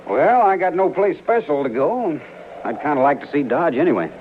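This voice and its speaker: Wary cowboy drifter, lively-pitched. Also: male voice, normal speed. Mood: plain, earnest. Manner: folksy rural frontier grammar. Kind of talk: 1950s radio western acting. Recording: muffled, noisy old radio recording.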